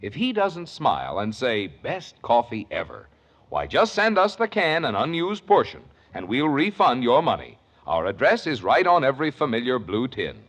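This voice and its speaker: A man's voice: medium pitch, huge pitch swings, normal speed, a bit bright. A man reading a 1950s radio commercial as announcer, drawing out his slogans with emphasis. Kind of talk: 1950s radio advertising read.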